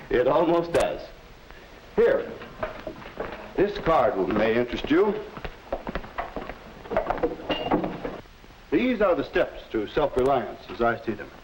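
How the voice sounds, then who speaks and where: An actor playing a patient, middle-aged teacher in a scripted 1950s educational film, instructive and encouraging, on a noisy old film soundtrack. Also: male voice, medium pitch, sing-song. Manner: instructive, measured, gently encouraging. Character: patient fatherly male teacher advising a student.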